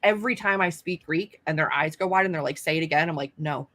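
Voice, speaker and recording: A woman's voice: sits low, fast talker, crowd noise. A young adult woman, an author hosting a casual live stream, chatting in a self-deprecating way with lots of fillers.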